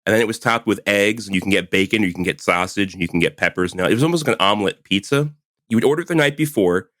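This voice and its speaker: A man's voice: lowish voice, racing, a bit bright, no echo, studio quality. A man in his forties co-hosting a podcast, chatting casually and laughing often, with lots of "like" fillers. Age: 40s. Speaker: podcast co-host. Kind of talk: casual chat.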